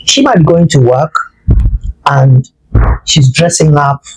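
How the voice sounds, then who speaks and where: Male interview guest, husky and lively, close dry sound, emphatic repeats, conversational storyteller. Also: fairly high pitch, slow pace, no echo, low-quality recording.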